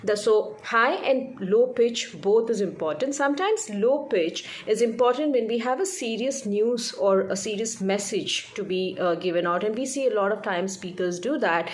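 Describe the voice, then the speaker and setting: A woman's voice: medium pitch, normal speed, few pauses, slight background noise. A woman speaking as a communication coach, giving an informal instructional explanation, stressing key words and often checking in with a short tag question.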